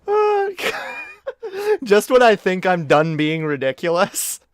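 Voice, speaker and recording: Stream host, male, from Canada, keeps dissolving into laughter; smooth clear tone, a touch higher than most men. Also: huge pitch swings, slow pace, no room sound, clean studio sound. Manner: giggly, bursts into high-pitched laughter. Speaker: streamer reacting to old clips. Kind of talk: casual drunk reaction stream.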